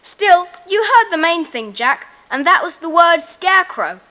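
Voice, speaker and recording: A woman playing a confident, bossy schoolboy leader, brisk and decisive, in a children's audiobook drama. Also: extremely high pitch, sing-song, bright, forceful tone, full character voice.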